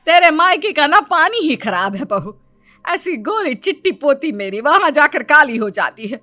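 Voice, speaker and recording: Woman playing nagging, high, swooping Indian grandmother. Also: sing-song, moderate pace, sharp tone, dry recording, soft background music, heavily acted. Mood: scolding, complaining. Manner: scolding, fussing, exaggerated exclamations. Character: doting, nagging elderly Indian grandmother and mother-in-law. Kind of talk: Hindi family story cartoon dialogue.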